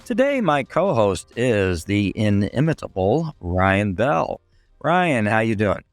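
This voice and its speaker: A man hosting a podcast with a raspy, hoarse voice, chatting in a friendly, promotional way. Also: lowish voice, sing-song, takes it slowly, no echo, studio quality, faint music behind. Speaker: podcast host. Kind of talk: casual podcast chat.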